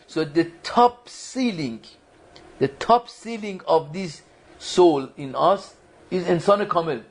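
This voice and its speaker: A man's voice: on the high side, lively intonation, takes it slowly. A man giving a religious study lecture to a small group, talking conversationally, quizzing the audience and often restarting his sentences. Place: lecture room at a community foundation.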